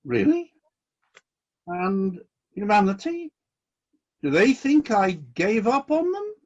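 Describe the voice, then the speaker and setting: A man acting in a radio play as God, a disillusioned but decisive ruler of heaven, with indignant questions and deliberate commands. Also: high-pitched, very animated, darkish tone, muffled recording, full character voice. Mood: hurt, disappointed.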